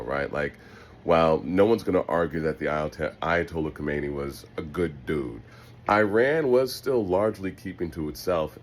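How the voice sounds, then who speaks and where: A man's voice: low voice, a little hiss or hum. An American man delivering an opinion monologue as a host, in a sardonic, emphatic, profane manner with rhetorical asides. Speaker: political podcast host.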